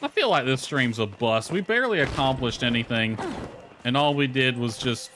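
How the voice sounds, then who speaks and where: A man with a mid-pitched voice gives casual live commentary as he plays a game. He mutters his thoughts aloud, mildly exasperated, over clearly audible background noise. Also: lively intonation, normal speed, fairly bright tone. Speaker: game streamer.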